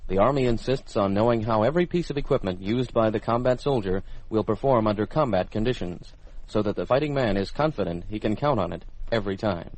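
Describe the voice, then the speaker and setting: A man's voice: on the low side, a little fast, darkish tone, rough recording. A man with an American accent making scripted military radio announcements in a formal, polished announcer's delivery. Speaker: radio program host and announcer.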